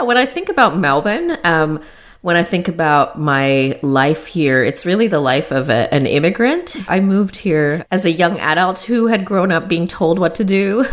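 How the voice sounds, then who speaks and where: A low-pitched woman in her thirties speaks with an American accent. Her intonation swings widely as she talks, chatty and self-deprecating. She tells her story casually and laughs often. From born in Sri Lanka, raised in the Philippines and Arkansas. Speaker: guest co-host.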